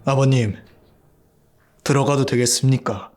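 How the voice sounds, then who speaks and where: An actor playing an ambitious young prince, intense and bitter, in an audio drama. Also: male voice, mid-range voice, fairly even intonation, unhurried, darkish tone, natural voice. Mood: defiant. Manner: intense, pleading then defiant.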